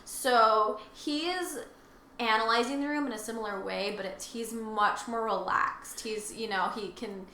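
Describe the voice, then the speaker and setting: Bright-voiced woman co-host in echoey room. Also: on the high side, fairly even intonation, unhurried. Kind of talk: casual story discussion. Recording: echoey room with faint ambient noise.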